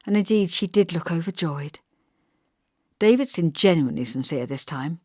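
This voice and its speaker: A woman's voice: lowish voice, expressive, normal speed, a little mellow, no echo. Woman narrating an audiobook, very dry sound. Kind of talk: scripted literary narration in first person.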